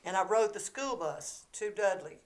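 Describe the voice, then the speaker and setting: A Southern woman in her seventies, a podcast guest telling stories from her life in a lively, playful way with frequent laughter. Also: mid-range voice, moderate pace. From Georgia, US. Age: 70s. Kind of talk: casual storytelling chat.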